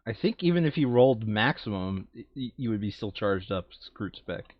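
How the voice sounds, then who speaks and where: A man's voice: on the low side, animated, moderate pace, no room sound, lo-fi sound. A man playing a board game, chatting casually and bluntly with some swearing and reading game text aloud. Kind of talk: casual game table chat, some read-aloud narration.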